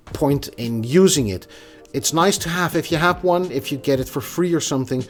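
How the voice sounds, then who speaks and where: A man giving a casual, opinionated tech review in a conversational manner. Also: mid-range voice. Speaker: product reviewer.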